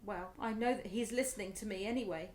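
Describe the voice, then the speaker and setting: A woman's voice: mid-range voice, fast, darkish tone, lightly acted. An actress playing a stubborn, devout, grieving mother in a radio drama. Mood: pleading, hopeful. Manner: insistent, repetitive, emotionally volatile.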